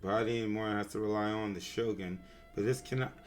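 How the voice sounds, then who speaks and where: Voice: mid-range voice, fairly even intonation, brisk, a little hiss or hum. A streamer giving casual, slangy, exclaiming commentary while reacting to a video game.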